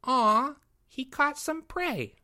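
A man's voice: very high-pitched, lively intonation, takes it slowly, sharp tone, pitched above the performer's own voice, exaggerated voice. A man voicing an aggrieved little schoolboy from his stories, hesitant yet self-important. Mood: relieved, tender.

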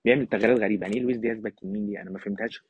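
A man's voice: lowish voice, fairly even intonation, rapid-fire, slightly rough. An Egyptian man giving casual, emphatic football analysis in Egyptian Arabic, as an opinionated podcast guest. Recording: thin phone-like line, slightly roomy.

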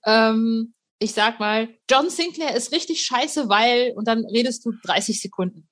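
A woman's voice: a little high, lively intonation, a little slow. A woman chatting casually as a podcast host, explaining things colloquially with examples. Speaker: podcast host and music presenter. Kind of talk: casual interview chat.

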